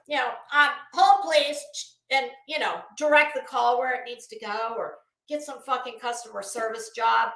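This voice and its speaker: Female livestream host: high, bright voice, unhurried delivery, scornful and sarcastic, casual commentary straight to viewers, echoey room. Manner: blunt, sarcastic, scornful, swearing freely.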